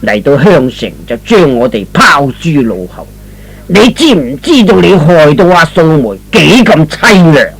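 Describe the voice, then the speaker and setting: A man playing a bitter, irate old father in a radio drama, scolding emphatically in a husky voice. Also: on the high side, big pitch swings, a little slow, faint music behind, full character voice, rough recording. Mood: furious, bitter. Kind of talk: Cantonese radio drama acting.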